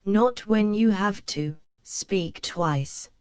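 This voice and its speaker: A woman reading a children's picture book aloud, slow and careful for young learners. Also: medium pitch, a little mellow, clear, resonant tone, no room sound, soft background music. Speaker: storybook reader.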